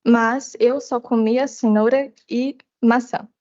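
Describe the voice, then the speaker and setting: Soft, high-voiced teenage girl, native Portuguese speaker. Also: steady tone, a little slow. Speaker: student giving testimony. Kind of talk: public testimony in short phrases for interpretation. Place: committee hearing. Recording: dull, muffled audio.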